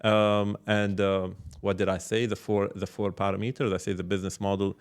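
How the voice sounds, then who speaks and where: Male podcast guest, startup mentor type, lowish voice, casual and reflective, restarts phrases as he explains. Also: little pitch movement, clean tone. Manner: halting, repeats words, explains with examples and check-questions. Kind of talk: casual reflective interview talk.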